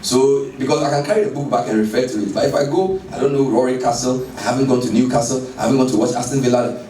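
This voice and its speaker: A man speaking in an animated, emphatic way in a conversational interview. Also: medium pitch, fast, a little hiss or hum. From Ghana. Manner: animated, emphatic, laughs while telling stories. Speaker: guest speaker. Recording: roomy, distant mic with background ambience.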